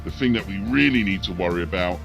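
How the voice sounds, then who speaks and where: A man narrates a battle report as host, announcing each point with theatrical emphasis. His voice sits a little low but is bright and forceful, with music faintly underneath. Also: fast, creaky, lo-fi sound. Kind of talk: tabletop-style battle report commentary.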